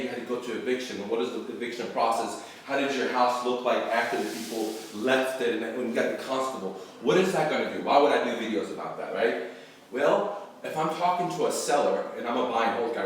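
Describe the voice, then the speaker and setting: A man's voice: mid-range voice, slight background noise. A man from Iran, a real estate investor and channel host, giving loose, rambling advice to camera and checking in with "okay?" as he goes, with the odd swear word. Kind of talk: casual instructional talk to camera. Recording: very echoey room, mic far off.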